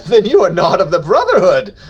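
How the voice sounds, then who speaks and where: A swooping, high-voiced tipsy nobleman. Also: huge pitch swings, moderate pace, husky, muffled recording, faint music behind, full character voice. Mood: mocking glee. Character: boastful, tipsy nobleman, jovial and scornful. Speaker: actor in a dramatic reading. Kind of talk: theatrical dramatic reading of gothic fiction.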